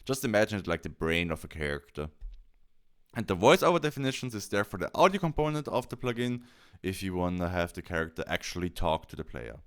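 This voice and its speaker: A man presenting a software tutorial as a voice-over, explaining things step by step in plain, short instructional phrases. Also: low voice, big pitch swings, a little fast.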